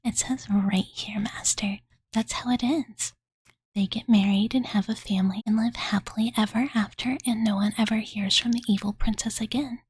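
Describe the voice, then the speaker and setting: A woman voicing an affectionate young dragon girl in a soft, breathy ASMR whisper, close to the mic, with a casual, cutesy way of talking. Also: fairly high pitch, steady tone, moderate pace, no echo. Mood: smug, teasing. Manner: cozy, cutesy, casual, filler-laden, doting. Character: affectionate, possessive young dragon girl telling bedtime story. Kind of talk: ASMR roleplay. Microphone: close studio condenser mic.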